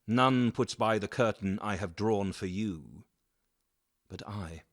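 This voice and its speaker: A man playing an imperious, menacing Renaissance Duke, reciting verse in a measured, caressing manner in an audio drama. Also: fairly low pitch, dry recording, studio quality. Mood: brooding.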